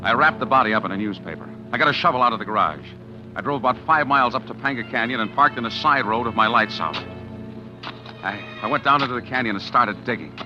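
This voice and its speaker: A man as a tense, halting killer narrating. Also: medium pitch, expressive, lightly acted. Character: male narrator who turns killer, jittery. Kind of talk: 1940s radio acting. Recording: dull old radio recording with music.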